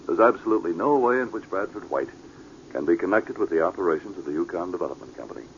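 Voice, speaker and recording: An actor playing a reserved, upright police sergeant in a measured, formal manner for a vintage radio drama. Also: male voice, low-pitched, lively intonation. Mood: sympathetic, firm. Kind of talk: 1940s radio drama acting. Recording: muffled, noisy vintage radio recording.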